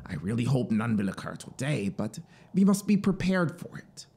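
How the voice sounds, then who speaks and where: A man's voice: medium pitch, big pitch swings, normal speed, creaky, dark tone, breathy edge, pitched above the performer's own voice, heavily acted. A man voicing an eager, talkative military officer in a dramatic storybook reading, insistent and explanatory. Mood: earnest, insistent. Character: eager, talkative male military officer, devoted to his machine. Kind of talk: dramatic audiobook character reading.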